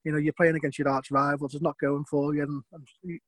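A man's voice: on the high side, little pitch movement, fast talker, fairly bright tone, no room sound. A middle-aged former footballer with a Scouse accent, recounting his career in a rambling way, often repeating words. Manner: rambling, repeats words, chuckles between points. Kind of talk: interview storytelling.

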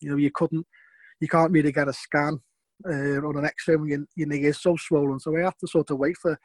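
A middle-aged Scouse man talks through old stories in a loose, rambling way, stumbling over repeated words. His voice sits a touch high for a man, and the recording is close and dry with no room sound. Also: little pitch movement, brisk, no echo. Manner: rambling, repeats words, chuckles between points. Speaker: former footballer. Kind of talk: interview storytelling.

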